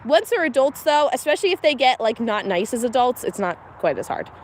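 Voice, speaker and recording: A woman's voice: on the high side, big pitch swings, brisk. A woman with a slight Pennsylvania accent, chatting casually and laughing as she livestreams chores with her cows outdoors. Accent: Pennsylvania, mixed American. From Pennsylvania, USA. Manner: chatty, laughs often, candid. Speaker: homestead livestreamer. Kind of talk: casual live commentary. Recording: faint outdoor noise.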